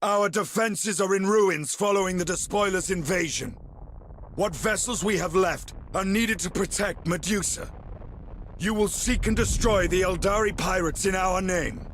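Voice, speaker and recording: A man's voice: high-pitched, a little slow, a bit bright, slight background noise. A man playing a proud, stern superhuman warrior captain in a video game, curt, formal and commanding. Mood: stern pride. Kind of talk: video game cutscene acting.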